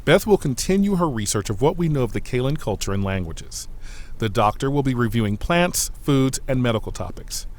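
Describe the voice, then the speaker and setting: A man playing an efficient, orderly diplomatic aide who gives a crisp, formal briefing in a science fiction audio drama. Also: medium pitch, lively intonation, fairly quick, lightly acted.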